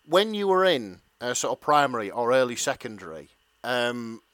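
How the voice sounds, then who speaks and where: A man's voice: medium pitch, very animated, moderate pace, fairly bright tone, dry recording. A man co-hosting a podcast, chatting casually, laughing often and stumbling over his words. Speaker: podcast co-host. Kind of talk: casual chat.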